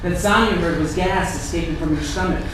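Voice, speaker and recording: Reassuring, deadpan male doctor played by an actor for stage comedy-drama, echoing theatre sound. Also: sits high, fairly quick, close to an everyday voice. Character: calm, dry-humored surgeon treating a patient. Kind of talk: live stage play acting. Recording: echoey theatre room, faint noise.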